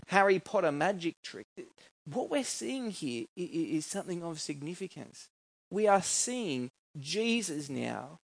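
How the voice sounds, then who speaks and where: A man's voice: high-pitched, no echo. A man with an Australian accent preaching a sermon in an informal, conversational way, often putting questions to his listeners. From New South Wales, Australia. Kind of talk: sermon with scripture reading and personal anecdotes.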